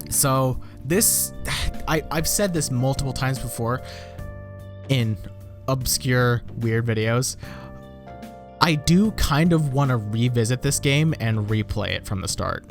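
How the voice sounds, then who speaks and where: A man's voice: mid-range voice, takes it slowly, soft background music. A young American man, a YouTuber, rambling and self-deprecating as he reacts to his old videos, swearing casually. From United States.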